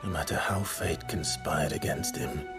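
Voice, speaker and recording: A man's voice: rumbling low, measured pace, vocal fry, heavily acted. A man playing a brooding, determined warrior in a video game, grave and understated. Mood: solemn admiration. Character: brooding, determined male warrior, loyal and sombre. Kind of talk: dramatic video game acting. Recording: loud background music under the voice.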